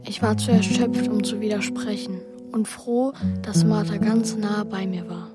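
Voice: medium pitch, steady tone, measured pace, over loud music, close to an everyday voice. A young performer playing an earnest, worried boy who narrates his own story in a German children's radio drama. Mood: shaken. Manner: earnest, plain-spoken, childlike.

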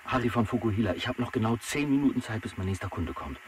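A practical, middle-aged helper in a children's radio play. His lowish voice is chummy and matter-of-fact, coming from a distance over radio with faint noise. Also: lively intonation, fast talker. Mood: helpful, chummy. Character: helpful, practical middle-aged male gadget-owning tradesman. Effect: two-way radio. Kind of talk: German children's radio drama.

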